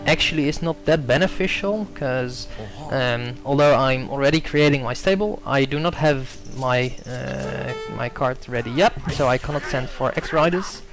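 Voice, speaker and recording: A young man whose first language is Dutch talks through his own strategy game match in a gravelly voice, with clear music playing underneath. He hesitates often, fills gaps with ums and corrects himself mid-sentence. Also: medium pitch, normal speed, hardly any pauses, poor audio. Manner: hesitant, many fillers and self-corrections. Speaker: gameplay commentator. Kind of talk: casual gameplay commentary.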